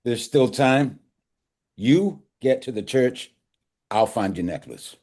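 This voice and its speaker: A man's voice: mid-range voice, lively intonation, takes it slowly, a little mellow, slightly rough. A man playing an aging, devoted father who grieves but reassures, in a live screenplay table read. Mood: urgent, reassuring. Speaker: actor reading a script.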